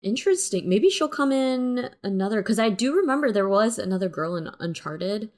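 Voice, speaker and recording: A woman's voice: mid-range voice, very animated, normal speed, a little mellow. A woman co-hosting a casual chat, speaking informally and loosely. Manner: informal, peppered with "like". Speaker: co-host.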